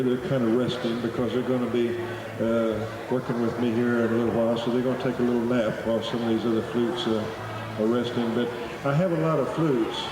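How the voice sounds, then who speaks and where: A middle-aged man, talking to a live audience between flute pieces in a folksy, joking storyteller's manner. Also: medium pitch. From Oklahoma. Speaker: flute performer addressing a festival audience. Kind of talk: live stage talk with folksy humor. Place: outdoor festival stage. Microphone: stage PA microphone. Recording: echoey live recording from far off. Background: festival crowd.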